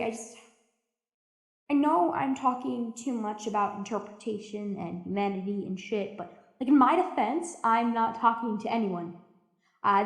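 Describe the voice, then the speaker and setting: A high, echo-laden voice belongs to an actress playing a lonely, jaded woman stranded in a deep-sea station. She keeps an anxious audio log for a drama podcast, rambling, stammering and interrupting herself as she confides. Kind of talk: audio drama monologue, confessional recorded log. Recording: echoey room.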